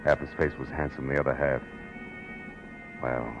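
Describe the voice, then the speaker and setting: Low-voiced hard-boiled detective, vintage radio. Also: male voice, little pitch movement, moderate pace, dull audio. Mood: wry narration. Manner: terse, sardonic, world-weary. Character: hard-boiled, wry male private detective narrating his case. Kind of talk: 1940s radio detective narration and acting. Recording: vintage radio drama with music.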